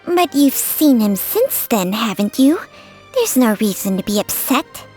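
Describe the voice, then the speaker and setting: A woman's voice: a little high, very animated, unhurried, a little mellow, faint music behind. An actress voicing a sly, knowing female snake companion in a video game, needling and teasing as she talks. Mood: soothing, teasing. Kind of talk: scripted video game character dialogue.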